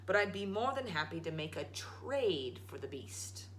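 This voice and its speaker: A woman's voice: fairly low pitch, very animated, a little slow, a little hiss or hum. A woman voicing a wacky old male tradesman in a storybook, folksy and coaxing like a salesman. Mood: folksy, friendly. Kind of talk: storybook read-aloud.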